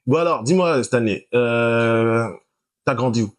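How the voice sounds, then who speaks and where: A man hosting a casual interview while playing a video game, quick to laugh and react to the action. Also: mid-range voice. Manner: friendly, laughs often, lively reactions to the game. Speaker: host, interviewer.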